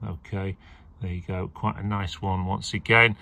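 A man's voice: deep voice, little pitch movement, moderate pace, vocal fry, pushed and bright, slight background noise. A man showing auction lots to a handheld phone camera indoors, chatting casually with lots of fillers. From England. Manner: chatty, rambling, with many fillers. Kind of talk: casual video walkthrough. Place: indoors beside a leather armchair.

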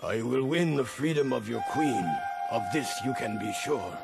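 A man's voice: mid-range voice, lively intonation, a little slow, creaky. A man playing an earnest elderly warrior champion in a video game, speaking formally and courteously. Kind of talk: video game cutscene acting. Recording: loud game music behind.

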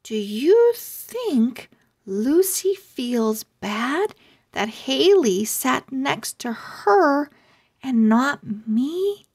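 A woman voicing an earnest, sensitive young girl owl from a children's storybook, with simple, expressive delivery. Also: sits high, extremely slow, exaggerated voice. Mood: wistful.

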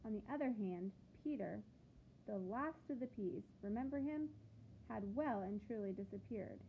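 A woman reading a picture book aloud to children like a teacher, with expressive, deliberate phrasing and questions to the listeners. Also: mid-range voice, vocal fry. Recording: phone-like thin sound with background noise.

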